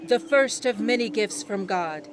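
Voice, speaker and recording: A woman playing a devout island priestess in an audio drama, telling sacred history as solemn, reverent, measured oratory. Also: mid-range voice, expressive, lightly acted. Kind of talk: ceremonial storytelling in an audio drama.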